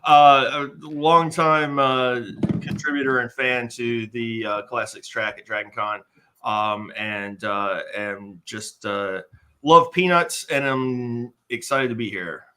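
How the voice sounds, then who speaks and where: A man with a bright, clear-cut tone at an average pitch. As a panel guest he chats casually, feeling his way through hesitant phrases. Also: slow pace. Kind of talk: casual self-introduction.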